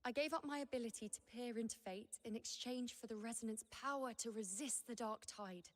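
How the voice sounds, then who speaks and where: Actress as a solemn young seer in long reverb. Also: female voice, fairly high pitch, natural voice. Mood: defiant. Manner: measured, grave, formal delivery. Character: solemn young woman seer who defies fate, burdened, proud. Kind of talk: scripted dramatic video game dialogue.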